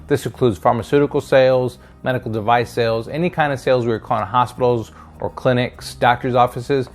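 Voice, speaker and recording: A man's voice: mid-range voice, steady tone, a little fast. A middle-aged man presenting instructional advice straight to viewers, explaining step by step and checking in with short tag questions. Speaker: career advice video host, sales hiring manager.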